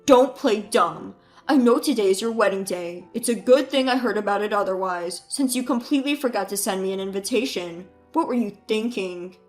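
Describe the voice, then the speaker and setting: A woman voicing a rude, mocking adult brother who talks loudly and scornfully, with faint music behind. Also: medium pitch, steady tone, unhurried, lightly acted. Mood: angry. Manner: sneering, taunting.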